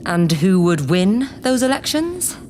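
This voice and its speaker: A woman's voice: mid-range voice, very animated, unhurried, darkish tone. Bossy scheming spaceship boss lady, sarcastic, really swoopy voice, bit of noise behind. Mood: scheming, threatening. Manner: commanding, condescending, sarcastic. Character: imperious, scheming woman acting leader of a spaceship. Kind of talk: scripted sci-fi comedy audio drama.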